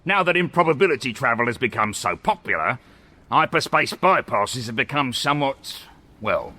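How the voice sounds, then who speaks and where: An actor playing a pompous, cruel alien judge in a radio comedy, officious and theatrical. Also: male voice, medium pitch, very animated, bright, forceful tone, full character voice. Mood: bureaucratic. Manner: officious, theatrical, with drawn-out deliberate phrasing.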